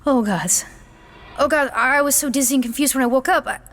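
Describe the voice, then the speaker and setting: A woman's voice: sits high, fairly quick, a bit bright, a little hiss or hum. An actress playing an anxious, earnest young woman, an aspiring beastmaster in an audio drama, with a flustered, urgent way of talking. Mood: panicked. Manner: flustered, breathless, stammering urgency.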